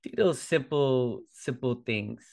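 A man's voice: mid-range voice, slow, gravelly, darkish tone. A man in his forties talking casually as a podcast host, informal and conversational, often checking in with a "right?". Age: 40s. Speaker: podcast host, fitness trainer. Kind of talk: casual solo podcast talk.

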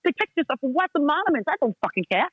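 A woman's voice: high voice, big pitch swings, fast talker, no echo. A woman on a dull, muffled call line with no room sound at all. She speaks bluntly and with force, in English with non-native grammar. She repeats short commands over and over to drive each point home. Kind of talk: casual chat.

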